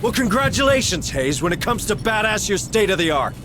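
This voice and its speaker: A man playing a gruff, stubborn marine sergeant in a video game, blunt, sardonic and commanding. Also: high voice, big pitch swings, a little fast, noisy background. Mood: angry, sarcastic. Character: gruff, stubborn male marine sergeant, protective of his people. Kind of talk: cinematic video game voice acting.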